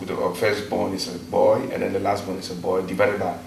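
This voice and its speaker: A middle-aged Ghanaian man with a fairly low voice, speaking in a measured way and stopping on fillers now and then. He sits well back from the mic, and the room is heavily echoey with a faint hiss. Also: completely flat delivery, vocal fry, darkish tone, distant mic. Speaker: guest, teacher. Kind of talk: interview.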